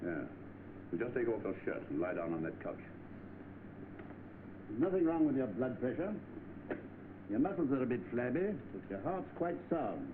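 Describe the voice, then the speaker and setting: Stern 1930s film doctor, male actor, lively intonation, heard through a tinny, noisy old soundtrack. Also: mid-range voice, normal speed, pauses often, lightly acted. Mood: stern, blunt. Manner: blunt, authoritative. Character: stern, blunt eminent London doctor.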